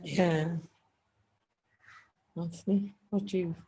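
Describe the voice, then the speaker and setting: A woman artist answering on a gallery panel, halting and reflective. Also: lowish voice, steady tone, dark tone, slight background noise. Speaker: artist in the group.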